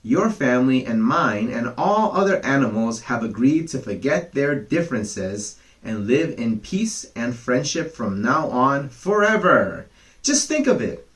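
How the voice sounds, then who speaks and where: A man's voice: medium pitch, very animated, measured pace, a bit bright. A man voicing a crafty male fox who gushes with false friendliness in a bedtime storybook reading. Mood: gushing. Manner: gushing, eager, coaxing.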